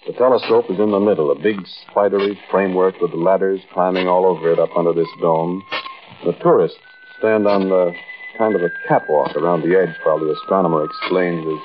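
An actor playing a folksy American man telling a first-person story in 1940s radio style, conversational and musing, with hesitant pauses. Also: low-pitched. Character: folksy American man recounting an uncanny mountain outing. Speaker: narrator and lead character. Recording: muffled, hissy old-time radio recording.

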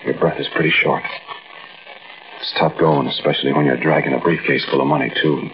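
An American man playing a frightened robber who narrates a night heist in a halting, conversational 1940s radio drama style. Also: rumbling low, fairly even intonation. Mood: fearful, weary. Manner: conversational, brooding, halting storytelling. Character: uneasy, frightened male bank robber recounting a night heist. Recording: muffled, noisy old-time radio recording.

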